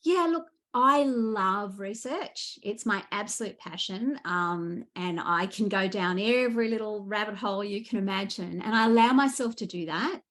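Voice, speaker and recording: A woman with an Australian accent speaking as a guest author in a casual interview, animated and enthusiastic as she tells her stories. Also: medium pitch, moderate pace, hardly any pauses.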